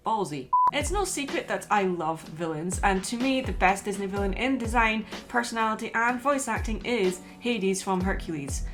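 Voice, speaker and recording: A woman's voice: mid-range voice, lively intonation, moderate pace, hardly any pauses. A woman host reading a scripted video essay in an opinionated, emphatic way. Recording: music playing behind the voice.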